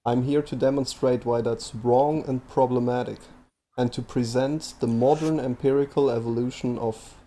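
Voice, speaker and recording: A man from Switzerland with a Swiss German accent, reading a prepared presentation in a measured way. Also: mid-range voice, steady tone, mellow. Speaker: video presenter. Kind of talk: scripted presentation.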